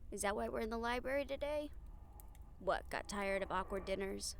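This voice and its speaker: A woman's voice: mid-range voice, normal speed, creaky, slight background noise, close to an everyday voice. A woman acting a defiant, sarcastic young captive woman in an audio roleplay drama. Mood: defiant, dry.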